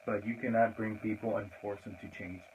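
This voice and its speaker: Young man, podcast co-host, earnest, reflective, low voice, faint noise. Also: flat delivery, a little fast, a little mellow, dull audio. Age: young adult. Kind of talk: casual podcast chat.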